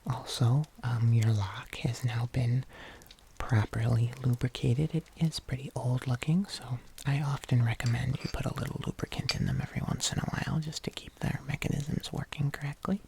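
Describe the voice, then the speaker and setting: An ASMR performer playing a kindly, patient locksmith, murmuring gently and unhurriedly to the listener, close to the microphone. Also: medium pitch, steady tone, normal speed, creaky, a little hiss or hum. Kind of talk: whispered ASMR roleplay.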